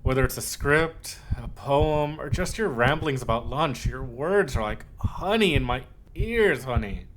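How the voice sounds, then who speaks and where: Male actor as lovesick yandere man, medium pitch, intimate ASMR roleplay, doting, earnest, halting, softly possessive. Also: animated, a little hiss or hum, low-quality recording. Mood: gushing, adoring.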